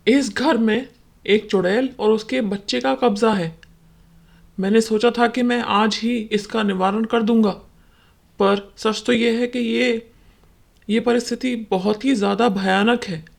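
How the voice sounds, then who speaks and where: A woman voicing a solemn male holy man with a grave, deliberate, fatherly manner in a horror cartoon story. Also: on the high side, fairly even intonation, vocal fry, exaggerated voice. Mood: alarmed, grave.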